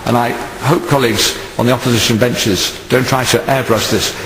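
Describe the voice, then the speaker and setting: A man giving a forceful parliamentary speech. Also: mid-range voice, steady tone, moderate pace, a little hiss or hum. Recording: echoey hall from some distance.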